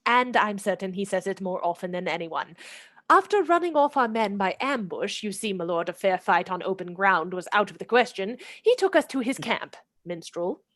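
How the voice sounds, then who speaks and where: A woman's voice: mid-range voice, animated, moderate pace, a bit bright, dry recording, studio quality, natural voice. A woman playing a proud, witty noblewoman who mocks an outlaw with arch, theatrical scorn in a radio play. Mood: scornful. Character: proud witty noblewoman Marian, mocking and spirited.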